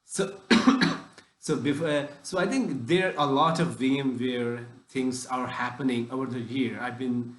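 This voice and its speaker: A man hosts a tech podcast and asks long, rambling questions, restarting his sentences often. His voice sits at a middle pitch. The room around him is echoey. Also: fairly even intonation, measured pace. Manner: broken phrasing with frequent restarts. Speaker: podcast host. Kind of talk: casual interview questions.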